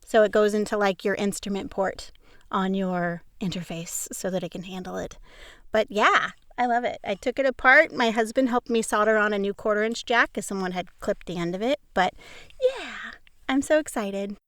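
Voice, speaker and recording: A woman's voice: mid-range voice, normal speed, fairly bright tone, no echo. A woman voice actor from the Pacific Northwest, chatting casually in an enthusiastic, self-deprecating way on a close mic. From Pacific Northwest, United States. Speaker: voice actor guest. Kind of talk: casual chat. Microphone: close studio mic on a video call.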